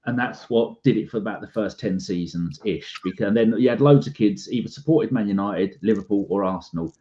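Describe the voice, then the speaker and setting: A man's voice: on the low side, brisk. Ranting London man, slightly lo-fi. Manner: animated, ranting, acts out conversations. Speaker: podcast co-host. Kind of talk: casual chat.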